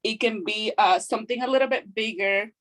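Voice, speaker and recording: A woman's voice: mid-range voice, brisk. Woman teaching a craft. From Guatemala. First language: Kaqchikel. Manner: hesitant, explanatory, frequent fillers. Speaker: craft instructor. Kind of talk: hands-on teaching. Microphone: video call.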